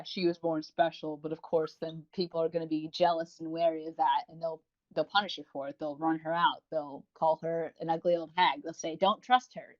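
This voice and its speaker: A woman's voice: sits low, darkish tone. A woman co-hosting a podcast, giving casual, wordy commentary in an informal, rambling way. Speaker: podcast co-host. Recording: dull lo-fi voice recording.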